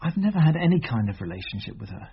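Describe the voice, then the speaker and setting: Anxious, high-voiced British teenage boy. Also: sing-song, slightly rough, exaggerated voice. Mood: nervous, defensive. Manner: halting, nervous. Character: anxious teenage schoolboy, defensive and reluctant. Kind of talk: naturalistic British radio drama acting. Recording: muffled, noisy old radio recording.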